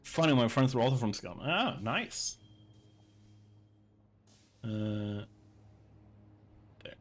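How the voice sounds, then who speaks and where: A man's voice: medium pitch, lively intonation, slow. A man from Sweden with a Swedish accent, chatting casually on a game livestream, thinking aloud and reading chat. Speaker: streamer.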